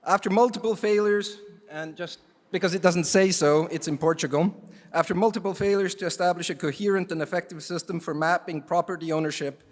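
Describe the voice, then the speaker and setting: A man from Canada chairing an award jury, giving a relaxed, wry ceremony speech on a stage microphone, halting often on fillers. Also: sits high. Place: large conference hall. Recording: long hall reverb.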